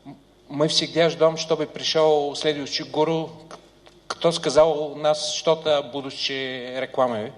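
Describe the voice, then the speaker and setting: Hesitant male interpreter, slightly high voice. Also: fairly even intonation, moderate pace. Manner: hesitant, searching for words. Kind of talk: consecutive interpreting. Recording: hall reverb.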